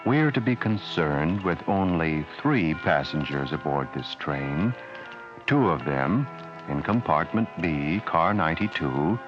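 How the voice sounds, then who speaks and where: A man narrating a vintage radio horror drama in a deliberate, ominous manner. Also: low-pitched. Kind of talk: 1940s radio announcing. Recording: dull old radio broadcast with music.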